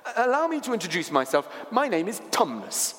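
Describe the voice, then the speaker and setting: A man's voice: high voice, big pitch swings, a little fast, fairly bright tone, big-room sound, slight background noise, voice pushed up. A man voicing a small, friendly, fussy male faun from a storybook, stammering and courteous, with formal old-fashioned speech. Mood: delighted.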